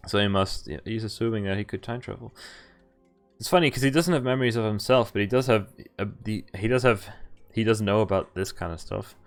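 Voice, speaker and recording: A man's voice: lowish voice, brisk. A young man doing a live let's play, reading game text aloud with informal commentary and the odd stumble. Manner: informal, stumbles and rereads while sight-reading. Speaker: game streamer. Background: faint game music.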